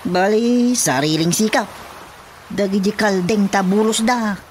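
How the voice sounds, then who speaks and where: A man's voice: high voice, unhurried, slight background noise. A man acting an agreeable village watchman in a comic radio drama, with an Ilocano accent. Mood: agreeable, chatty.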